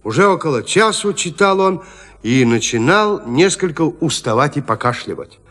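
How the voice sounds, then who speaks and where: A man's voice: fairly high pitch, expressive, normal speed, a bit bright. A man narrating a gothic horror story in an audio drama with theatrical suspense. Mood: suspenseful. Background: music.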